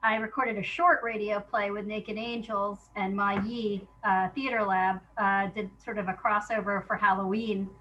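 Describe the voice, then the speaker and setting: A woman's voice: mid-range voice, flat delivery, moderate pace, hardly any pauses, bright, forceful tone, muffled recording, slight background noise. Woman chatting casually, mid-range voice. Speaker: interview guest, playwright. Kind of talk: casual chat about own work.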